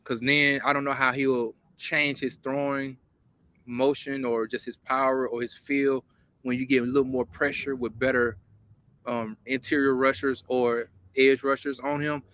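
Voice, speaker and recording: A man's voice: mid-range voice, little pitch movement, a little slow, a bit bright, clean tone. A man co-hosting a sports call-in show, talking in casual, emphatic bursts.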